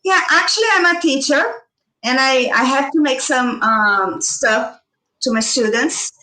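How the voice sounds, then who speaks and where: High, bright Brazilian woman on a video call. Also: unhurried, roomy sound, recorded from a distance, poor audio. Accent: Brazilian Portuguese. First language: Portuguese. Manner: hesitant, searching for words, restarts. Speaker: tarot teacher, panel guest. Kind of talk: casual online panel chat.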